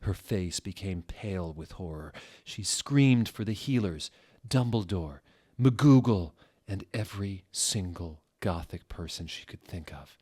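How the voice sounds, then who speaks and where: A man with a low voice reads a story aloud in a mock-dramatic style. He swings between dry, deadpan delivery and sudden theatrical outbursts. The reading sounds staged on purpose. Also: measured pace, hardly any pauses, husky. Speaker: dramatic reader.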